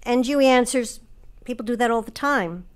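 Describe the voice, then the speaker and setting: Higher-voiced woman hosting a radio show. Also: moderate pace. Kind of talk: scripted hosting and interviewing.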